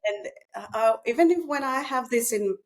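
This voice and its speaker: A woman speaks as a therapist guest in an unscripted, reflective conversation, haltingly thinking aloud. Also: a little high, expressive, a little hiss or hum. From Russia. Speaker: psychotherapist guest.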